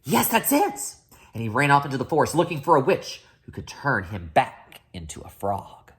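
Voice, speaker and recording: Woman storyteller, low voice, highly varied intonation, children's read-aloud. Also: big pitch swings, brisk.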